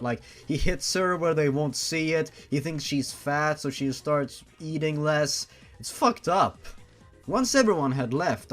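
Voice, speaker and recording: A man reading a fan story aloud and breaking in with sarcastic, profane reactions. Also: on the high side. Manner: sarcastic and reactive, swears freely. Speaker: story reader.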